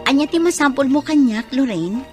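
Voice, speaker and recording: A woman's voice: sits high, lively intonation, normal speed, over music. A Filipino-accented woman playing a hurt, suspicious wife in an emotional, pleading radio drama style. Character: hurt, suspicious wife confronting her straying husband.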